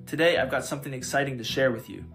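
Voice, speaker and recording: A man hosting a tech channel, narrating a scripted software tutorial. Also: mid-range voice, racing. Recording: music behind.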